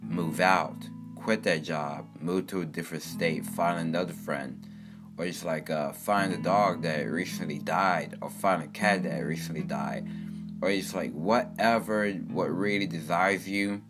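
A young man with a very low, deep voice rambles through an upbeat, earnest self-help monologue, full of "like" and "um". Plucked guitar music plays clearly underneath him the whole time. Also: measured pace.

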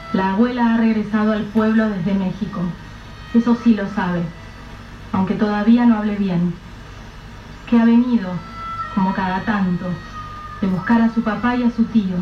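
Lady narrator, slow serious storytelling, dull distant sound, music low underneath. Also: fairly even intonation. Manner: measured, grave, storytelling. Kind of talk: scripted radio drama narration. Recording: roomy, dull, distant mic with soft music.

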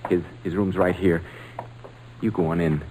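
A man's voice: low-pitched, steady tone, normal speed, mellow, close to an everyday voice. An actor playing a worried, pleading father in a 1940s radio drama. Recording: faintly noisy old radio recording.

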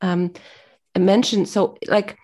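A woman's voice: mid-range voice, fairly even intonation, slow, a little mellow. A woman whose first language is Polish, speaking as an interview guest in a reflective, motivational way, hesitant and full of fillers as she searches for words.

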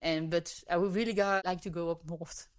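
A woman's voice: lowish voice, steady tone, moderate pace. Belgian dutch-accented woman in her 30s, friendly podcast guest, laughs a lot, restarts sentences. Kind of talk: casual interview chat.

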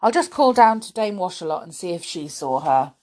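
A woman reading aloud voices a kindly male tree dweller, close to her own reading voice, slow and thoughtful. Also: fairly low pitch, sing-song, a little mellow. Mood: troubled.

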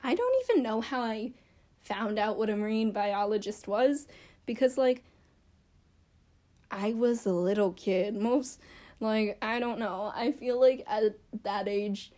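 A woman's voice: a little high, animated, unhurried, warm tone. A young woman chatting casually to camera about her childhood, rambling and self-deprecating with lots of fillers. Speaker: vlogger.

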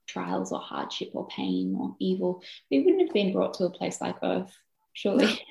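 A woman interviewing a guest, warm and hesitant, with many pauses and ums. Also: medium pitch, very animated, gravelly, reverberant, poor audio. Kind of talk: gentle interview questions.

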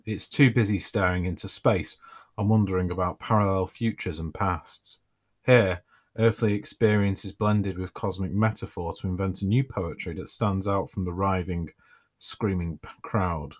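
A man reads his own writing for his podcast. His voice sits very low, and his delivery is relaxed, with a dry, self-mocking humour. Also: moderate pace. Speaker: podcast host and author.

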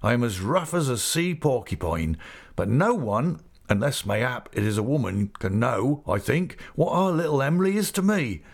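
A man voicing a rough, big-hearted seafaring uncle in an audiobook, effusive and excited, with a rustic East Anglian accent. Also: medium pitch, moderate pace, pitched above the performer's own voice. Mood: confiding, devoted. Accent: East Anglian English rustic dialect. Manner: rapturous, effusive, breathlessly excited. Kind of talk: character voice in audiobook reading.

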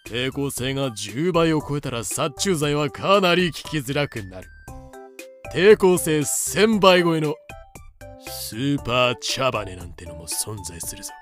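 A man playing a streetwise, deadpan adult male cockroach who reasons wryly in a comedic audio drama. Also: mid-range voice, a little slow, soft background music. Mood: matter-of-fact.

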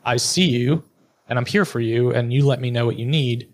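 A man's voice: mid-range voice, moderate pace. A middle-aged man speaking frankly and bluntly in a conversational interview, now and then swearing. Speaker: podcast guest, therapist and entrepreneur.

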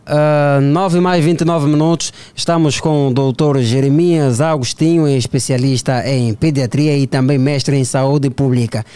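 A man's voice: on the high side, a little mellow, clear, resonant tone, no room sound. A man with an Angolan accent hosting a radio phone-in from a studio in a conversational style. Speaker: radio host.

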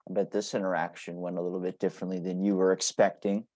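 A man's voice: sits low, level tone, fast, dark tone, no room sound. A man hosting a casual podcast, talking in a blunt, informal, anecdotal way. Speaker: podcast host.

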